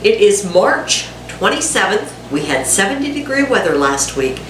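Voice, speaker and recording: Home cook host with a cold, woman, stuffy nasal tone, chatty and self-mocking, echoey kitchen, mic set back, loud sizzling pan. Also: mid-range voice, sing-song, moderate pace, far from the mic, slight background noise. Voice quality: congested and nasal. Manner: chatty, self-deprecating asides to viewers. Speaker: cooking channel host. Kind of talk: casual home cooking demonstration. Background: frying sizzle and a dishwasher running.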